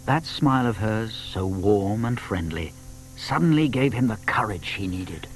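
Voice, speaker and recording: A man playing a genial, wry old tortoise who narrates a children's audio story in an expressive, confiding way. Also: on the low side, measured pace, a little hiss or hum. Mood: hushed, suspenseful.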